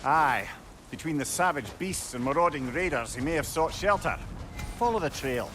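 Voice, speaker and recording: A man's voice: a little high, expressive, moderate pace, noisy background, natural voice. An actor playing a wry, chatty male know-it-all companion with a Scottish accent in a video game. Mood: alert. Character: severed talking head, witty know-it-all male companion. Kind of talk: video game character acting.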